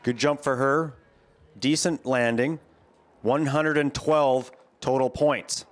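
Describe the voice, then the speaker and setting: A man giving measured play-by-play commentary on a ski jumping competition, speaking as a voice-over. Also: mid-range voice. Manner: calm, measured play-by-play, lifting on big jumps. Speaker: sports commentator.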